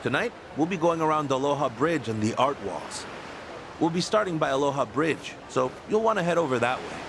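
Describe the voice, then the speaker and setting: A man's voice: a little high, animated, a little fast. A man voicing a friendly, dutiful local volunteer organizer in a video game, explaining things in an easygoing way. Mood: informative. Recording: clear outdoor town noise behind.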